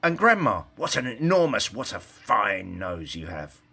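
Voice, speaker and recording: A man's voice: on the low side, big pitch swings, a little slow, gravelly, bright, forceful tone, husky, full character voice. A man voicing an earnest, self-assured little girl in a storybook, lecturing in formal, indignant phrases. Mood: sweetly earnest.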